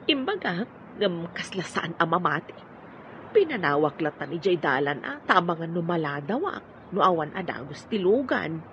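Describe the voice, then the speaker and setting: A woman's voice: fairly low pitch, big pitch swings, moderate pace, a bit bright, a little hiss or hum. A middle-aged woman with an Ilocano Filipino accent acting a proud, humble mother in an amateur audio drama. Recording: dull lo-fi audio with music.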